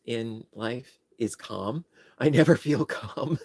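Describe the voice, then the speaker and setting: Man, New York accent, self-deprecating rambling chat, dry close sound, gravelly. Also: medium pitch, slow pace, a little mellow, no room sound. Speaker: livestream host. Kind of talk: casual livestream chat.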